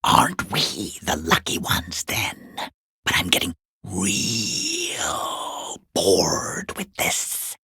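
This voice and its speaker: Casting: sinister, taunting watcher in a video game, breathy raspy whisper, slow sarcastic delivery, close dry sound. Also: male voice, low voice, big pitch swings, bright, forceful tone, no echo, heavily acted. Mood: bored, needling. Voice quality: hoarse, breathy whispering rasp. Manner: taunting, sarcastic, drawn-out theatrical phrasing. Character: mocking, sinister unseen male watcher sneering from the shadows. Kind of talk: video game character voice lines.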